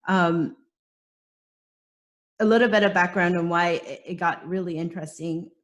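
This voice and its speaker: A middle-aged woman from Hong Kong with a slight British accent talks on a video call with a somewhat low voice. She speaks as a panelist, thinking aloud and posing rhetorical questions. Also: normal speed, highs cut off. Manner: reflective, frequent restarts and rhetorical questions. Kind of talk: webinar panel discussion.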